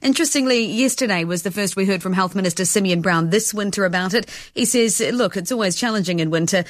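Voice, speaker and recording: A woman, giving a brisk regional news report on radio. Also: medium pitch, no room sound, clean studio sound.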